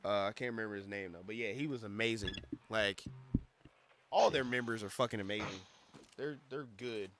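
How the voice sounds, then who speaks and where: A young man streaming a game, rambling and swearing as he tells stories in casual chat, his voice a little hoarse from losing it. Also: fairly low pitch, a little fast, slight background noise. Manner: rambling, profane, animated storytelling. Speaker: gaming streamer. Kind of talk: casual livestream chat while gaming.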